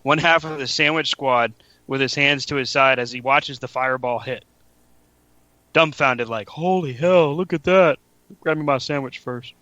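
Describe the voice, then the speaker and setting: Bright-voiced joking man, dull lo-fi sound. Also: mid-range voice, normal speed, no echo. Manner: joking, laughs often, self-mocking. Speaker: wrestling podcast host. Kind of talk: casual chat. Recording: dull lo-fi podcast audio.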